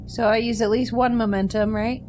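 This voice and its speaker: A woman's voice: on the high side, fairly even intonation, fairly quick, background noise. A woman chatting casually as a player in a tabletop role-playing game.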